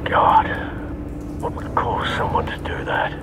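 A man's voice: a little slow, in a whisper, lightly acted. A man playing a loyal older butler in a video game, polite and dryly wry with an undertone of concern. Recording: music behind the voice.

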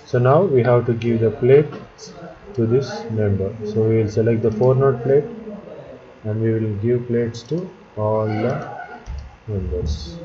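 A man narrating a step-by-step software tutorial as an instructor, speaking in short, halting instructional fragments. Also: medium pitch. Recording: dull lo-fi audio, background chatter, clicking.

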